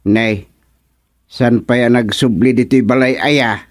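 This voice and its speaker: A man's voice: mid-range voice, fairly even intonation, slow, dry recording, studio quality, close to an everyday voice. A man playing a grumbling village chairman in an Ilocano radio comedy, blunt and questioning, with an Ilocano accent. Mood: puzzled. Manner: blunt, questioning, muttering interjections. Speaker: actor in radio comedy drama. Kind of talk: Filipino radio drama comedy acting.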